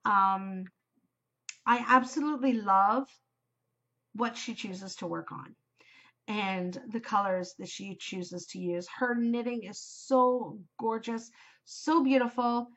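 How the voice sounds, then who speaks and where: A Canadian woman hosting her knitting podcast, chatting casually and enthusiastically in a loud voice. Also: medium pitch, fairly even intonation, slow pace. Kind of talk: casual chat. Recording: slightly dull home recording.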